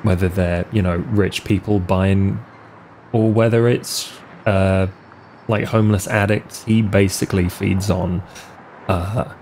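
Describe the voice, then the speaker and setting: A man with a lowish voice plays a tabletop roleplay game. He talks very slowly and casually, working out his character's actions aloud. There is faint noise in the background. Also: fairly even intonation, darkish tone. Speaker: player in a tabletop roleplay podcast. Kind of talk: casual, improvised character description.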